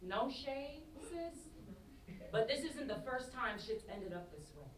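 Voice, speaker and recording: A woman's voice: sits high, unhurried. A young woman acting a blunt, teasing, slangy best friend in a staged play reading. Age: young adult. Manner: slangy, mocking, animated delivery. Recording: echoey distant stage recording, loud audience noise.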